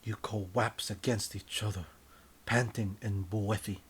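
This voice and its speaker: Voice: sits low, steady tone, measured pace, slightly rough. A narrator reading their own comic story in a mock-serious, theatrical, scripted style.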